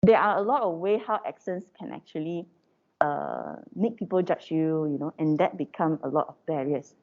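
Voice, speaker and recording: A woman with a Malaysian English accent lectures her students in a chatty, informal way, dropping tag questions as she goes. Her voice sits a little low and sounds mellow. The recording is dull and lo-fi.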